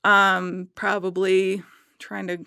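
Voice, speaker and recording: A woman chatting casually as a podcast guest, telling stories in an animated, self-mocking way full of filler "like"s. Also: medium pitch, slow pace, gravelly, clear, resonant tone, no echo, studio quality. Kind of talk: casual chat, anecdotes.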